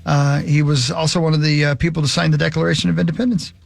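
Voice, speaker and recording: A man's voice: a little high, level tone, fast talker, gravelly, dry recording, soft background music. A man hosting a morning radio show with casual banter and trivia.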